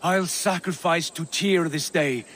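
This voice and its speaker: An actor playing a proud, zealous Viking jarl in a video game, with a grandiose, declamatory delivery. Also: male voice, on the high side, unhurried, a bit bright, slight background noise. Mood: fervent. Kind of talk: video game cutscene acting.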